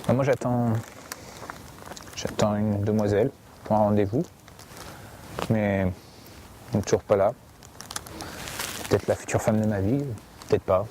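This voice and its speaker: A man's voice: lowish voice, steady tone, unhurried, long pauses, slight background noise, lightly acted. A man playing a shy, old-fashioned man who hesitantly talks about waiting for a date. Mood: wistful. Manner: hesitant and quiet.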